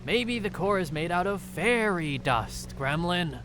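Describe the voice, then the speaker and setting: A man's voice: sits high, animated, a little slow, music in the background. A man playing a laid-back soldier in an audio story, talking in a casual, offhand way. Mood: offhand, teasing. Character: laid-back male soldier, speculative, mildly teasing. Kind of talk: audio drama dialogue.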